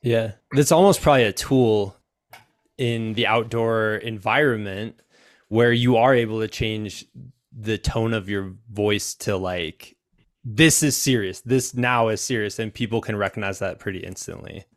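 A middle-aged man hosting a casual interview, with frequent short agreements and lively reactions. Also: on the low side, measured pace, no echo, studio quality. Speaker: podcast host.

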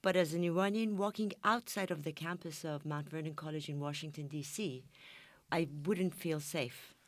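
A woman panel guest in a studio discussion, speaking hesitantly with many pauses and fillers. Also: low voice, no echo.

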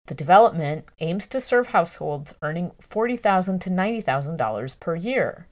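Female narrator for long-form journalism; lowish voice, highly varied intonation, measured and articulate. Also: animated. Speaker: narrator reading a news article.

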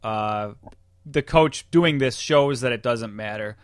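A man with a North American accent chats about sports as a co-host. His mid-pitched voice is recorded dry, without room sound. He reasons aloud conversationally, often qualifying what he says. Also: slow pace, smooth, no room sound. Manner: hedging, conversational, thinking aloud. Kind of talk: casual sports chat.

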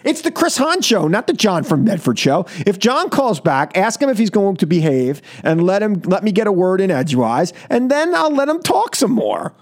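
A man hosting a live political call-in radio show, animated and joking, repeating phrases for emphasis. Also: high-pitched, moderate pace, hardly any pauses. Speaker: radio talk show host. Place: radio studio.

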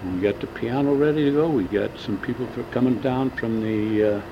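A man's voice: medium pitch, fairly quick. A man in his seventies reminiscing in a casual oral-history interview, rambling and often stalling as he searches for names. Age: 70s. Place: indoors in a large banquet room. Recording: lo-fi tape with loud hiss.

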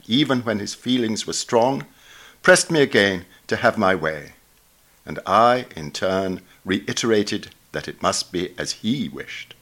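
A man reading a memoir essay aloud in a measured, literary, expressive way. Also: lowish voice. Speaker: reader of a magazine article.

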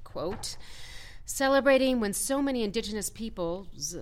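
An actress playing a brisk, no-nonsense committee chairwoman in a satirical audio drama, dry and businesslike. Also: a little high, lively intonation, a little hiss or hum, lightly acted. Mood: serious, stern.

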